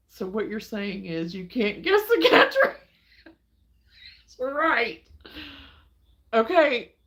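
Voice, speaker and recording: Livestream host: woman from Illinois, folksy and chatty, unhurried delivery, laughs easily, home setting. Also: a little high, fairly bright tone. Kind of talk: casual chat with viewers.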